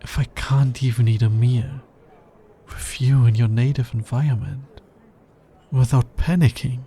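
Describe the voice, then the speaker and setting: A man's voice: medium pitch, steady tone, measured pace, dark tone, natural voice. A man playing an anxious, smitten alien scientist in an intimate ASMR roleplay, with hesitant, earnest and precisely formal speech.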